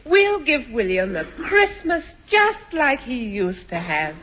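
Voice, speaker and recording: A woman playing a sympathetic, sensible member of a household in a 1950s radio comedy, measured and reassuring. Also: fairly high pitch, wildly swooping pitch, over loud music, exaggerated voice. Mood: earnest. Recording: noisy, dull old radio recording.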